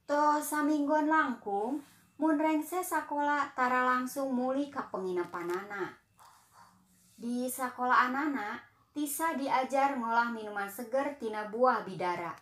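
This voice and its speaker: Gentle slow Sundanese woman storyteller. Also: sits high, roomy sound. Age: young adult. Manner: gentle, unhurried reading to children. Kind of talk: children's storytelling narration.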